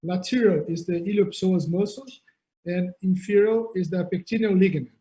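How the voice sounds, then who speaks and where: A man from Brazil with a Brazilian Portuguese accent, giving an online surgical anatomy lecture over a video call in halting English, often repeating words. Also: sits high, warm tone. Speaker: surgeon lecturer.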